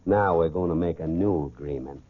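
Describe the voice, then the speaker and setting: A man's voice: deep voice, brisk. Ruthless, boastful holdup man; commanding, scheming, 1940s radio crime acting, dull vintage recording. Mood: cold threatening. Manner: barking, commanding, threatening. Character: ruthless, boastful gentleman stickup robber, scheming and menacing.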